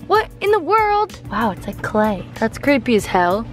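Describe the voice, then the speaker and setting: A woman in her twenties vlogging at her family home, talking to a handheld camera in a casual, chatty, excitable way. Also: fairly high pitch, faint music behind. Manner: chatty, excitable, quick exclamations. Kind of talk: casual vlog chat. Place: family home, kitchen and backyard deck. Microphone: handheld camera close up.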